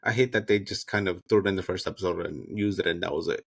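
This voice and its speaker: A man's voice: sits low, fast, creaky. A man co-hosting a podcast, giving casual reactions and commentary in sassy slang with some swearing. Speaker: podcast co-host.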